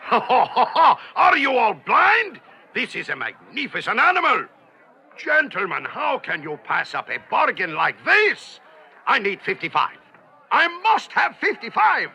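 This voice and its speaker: Brief: blustering raspy auctioneer cartoon villain, gravelly, slow. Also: male voice, high voice, sharp tone, full character voice. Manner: blustering, showy salesman patter, laughing. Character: pompous loud male auctioneer, greedy and impatient.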